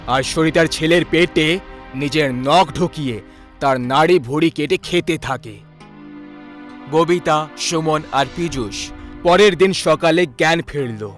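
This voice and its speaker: High-voiced male horror story narrator.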